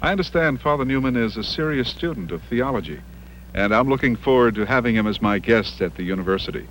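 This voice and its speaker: An actor playing a genteel, self-assured university professor, speaking formally and with polish in an acted interview. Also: male voice, mid-range voice, animated, quick pace, a little hiss or hum, natural voice, low-quality recording. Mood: pleased, formal. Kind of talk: acted documentary-style interview.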